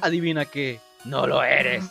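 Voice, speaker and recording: A man voicing a brash, teasing teenage monster girl with gruff kindness in a video game fandub, blunt and slangy. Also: medium pitch, measured pace, pushed and bright, soft background music, pitched below the performer's own voice, heavily acted. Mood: gloating, mocking.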